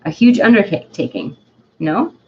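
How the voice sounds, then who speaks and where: Casting: a woman as a theatrical French-accented dandelion spirit, storybook style, exuberant and self-loving, unhurried, on a slightly dull recording. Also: lowish voice, big pitch swings. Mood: grand, musing. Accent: put-on French. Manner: theatrical, halting, often tagging phrases with "eh". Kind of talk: dramatic storybook-style reading.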